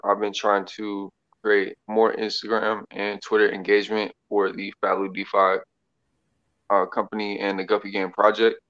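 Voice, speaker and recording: A man introducing himself as the social media person, halting, with many fillers and restarts. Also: fairly low pitch, a bit bright. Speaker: social media team member. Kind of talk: casual self-introduction. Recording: dull lo-fi audio.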